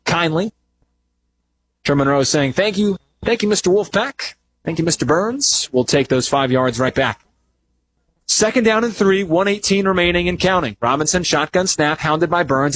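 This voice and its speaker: A man doing live radio play-by-play of a football game, descriptive and conversational, getting animated on big plays. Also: on the high side, slight background noise, low-quality recording. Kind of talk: live radio sports commentary.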